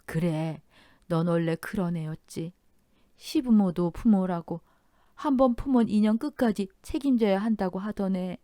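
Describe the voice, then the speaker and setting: A woman voicing a caring older mother who speaks slowly and gently. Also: mid-range voice, no room sound, clean studio sound, natural voice. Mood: gentle.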